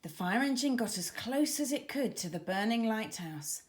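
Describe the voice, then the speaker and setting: A woman children's author reading her storybook aloud with expressive, dramatic emphasis for young listeners. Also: a little high, moderate pace.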